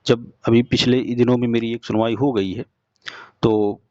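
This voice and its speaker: A young man gives an informal explanatory update, speaking to listeners directly in halting, repetitive phrases. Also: mid-range voice, level tone, warm tone, dry recording. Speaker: exam update presenter. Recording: dull lo-fi sound.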